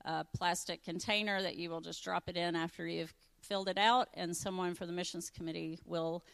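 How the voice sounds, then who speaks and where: A woman reads church announcements in a chatty way, full of fillers. Her voice is low and gravelly yet smooth and resonant. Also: fairly even intonation, a little fast, a bit bright.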